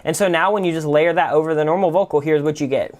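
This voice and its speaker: A man with a somewhat high-set voice walks through a software tutorial. He talks informally and off the cuff, explaining each step with lots of loose, conversational phrasing. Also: fast. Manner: informal, explanatory, full of "like" and "kind of". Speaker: music production tutorial host. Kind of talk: casual, unscripted software tutorial.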